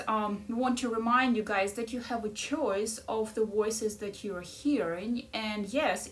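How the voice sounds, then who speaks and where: A woman's voice: medium pitch, fairly bright tone, distant mic, slight background noise. A middle-aged woman with a Ukrainian accent, discussing a book and coaching listeners in an encouraging way, often checking in with "right?". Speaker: life coach and podcast host.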